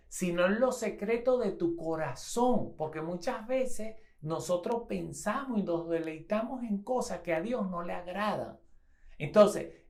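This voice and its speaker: A woman's voice: mid-range voice, a little mellow. A woman from Venezuela preaching a sermon, reading scripture aloud and repeating phrases for emphasis.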